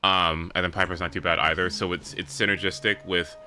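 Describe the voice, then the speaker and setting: A man's voice: sits low, fairly even intonation, fairly quick, bright, forceful tone, slight background noise. A man chatting casually on a gaming stream, rambling as he thinks aloud, with some swearing. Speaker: livestreamer. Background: game music.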